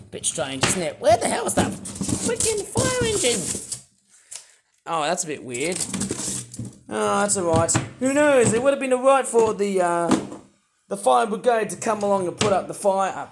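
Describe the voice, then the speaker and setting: A man with a high voice rambles through a toy review, chatty and playful. His voice sounds a little under the weather, and he breaks into sudden excited outbursts. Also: noisy background. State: voice not fully well. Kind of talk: casual toy review vlog.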